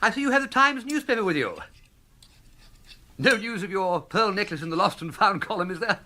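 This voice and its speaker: An actor playing a genial, flustered young upper-class English gentleman, breezy and exclamatory, in a comic radio drama. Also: high voice, expressive, a little fast, exaggerated voice. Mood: breezy cheer.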